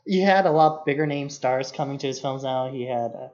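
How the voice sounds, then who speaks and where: A man's voice: mid-range voice, brisk, darkish tone. A man co-hosting a podcast, discussing films casually in an analytical, critical way, often peppering his speech with "like". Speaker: podcast co-host. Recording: slightly dull lo-fi podcast audio.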